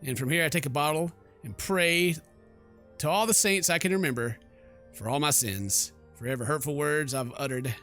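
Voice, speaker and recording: A man's voice: fairly high pitch, normal speed, sharp tone. A man hosting a let's play, reading the game's narration theatrically and breaking into slangy, joking asides. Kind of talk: reading game narration aloud with joking commentary. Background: game music.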